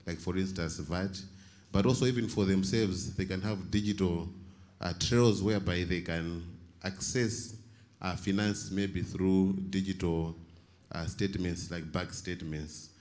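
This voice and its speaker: Man, very low voice, conference participant posing formal questions in halting, hedged phrases. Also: darkish tone. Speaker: panel participant asking questions.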